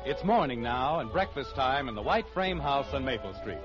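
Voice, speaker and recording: A man's voice: mid-range voice, animated, moderate pace. An American man doing scripted 1950s radio narration and announcing as the show's announcer. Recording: dull old radio recording under loud music.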